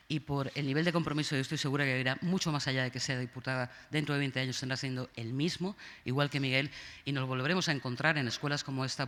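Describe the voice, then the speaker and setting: A woman's voice: very deep voice, fairly bright tone, long reverb tail. A woman from Spain with a Peninsular Spanish accent, moderating a panel and introducing the speakers with emphatic praise and frequent fillers.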